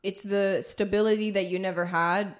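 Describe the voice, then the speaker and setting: Animated woman with a lilt. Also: medium pitch. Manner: animated, laughs easily, quick bursts. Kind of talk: casual conversation.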